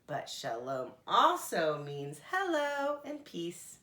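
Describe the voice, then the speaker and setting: A woman's voice: on the low side, huge pitch swings, slow, pushed and bright, reverberant, exaggerated voice. A woman voicing a furry blue monster in a children's storybook, eager and polite, explaining things with earnest emphasis.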